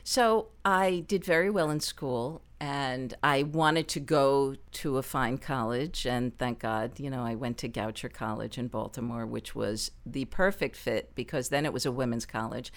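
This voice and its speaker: Low-voiced woman, lively storyteller. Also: unhurried, hardly any pauses. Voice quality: low speaking voice. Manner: lively, laughs often, animated asides. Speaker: interview guest, performer. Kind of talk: conversational storytelling interview.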